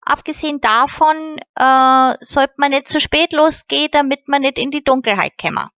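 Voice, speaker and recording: A woman's voice: high voice, normal speed, a bit bright, dry recording, muffled recording, pitched above the performer's own voice. A woman voicing a careful, sensible boy, earnestly explaining.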